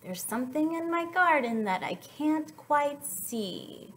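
A young woman leads story time for small children in a high, animated voice. She takes her time, speaking in a sing-song way as she reads and prompts her young listeners. There is some light background noise on the recording. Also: slow. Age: young adult.

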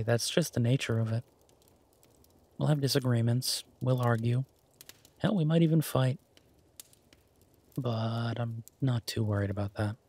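Husky, slow-talking tender werewolf boyfriend, acted by a man. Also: mid-range voice, darkish tone. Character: tender, teasing, easygoing male werewolf boyfriend. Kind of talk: intimate romantic audio roleplay.

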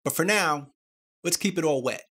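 A man presenting a software tutorial, explaining things step by step. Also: on the high side, normal speed, husky.

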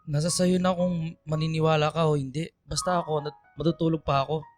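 A man's voice: a little high, little pitch movement, a little fast, lightly acted. Irritable, controlling Filipino boyfriend, acted by a man, music underneath. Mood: defensive, curt. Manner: curt, nagging, defensive. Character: irritable, controlling older boyfriend, gamer, dismissive and defensive. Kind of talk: Filipino radio drama acting.

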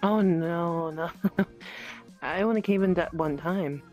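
A woman roleplaying a gentle, protective dragon woman in a soft, hesitant, improvised chat. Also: on the low side, takes it slowly, faint music behind. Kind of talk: improvised virtual-reality fantasy roleplay chat.

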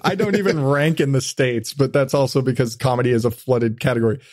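A man's voice: mid-range voice, a little fast, darkish tone, dry recording, clean studio sound. A man hosting a podcast in casual chat, blunt, self-deprecating and swearing freely. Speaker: podcast host.

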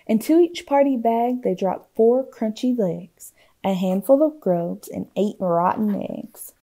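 A woman reading a children's picture book aloud expressively, with theatrical hushes and swells. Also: medium pitch. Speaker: storytime reader.

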